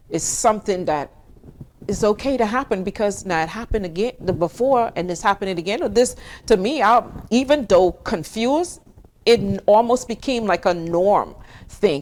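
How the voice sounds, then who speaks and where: A woman's voice: mid-range voice, animated, normal speed, a little hiss or hum. A woman from the Bahamas, telling a personal story as an interview guest.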